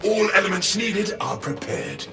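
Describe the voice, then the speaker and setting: An actor voicing a grim, dutiful armored super-soldier who speaks formally and tersely. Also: male voice, sits high, wildly swooping pitch, measured pace, gravelly, music in the background, exaggerated voice.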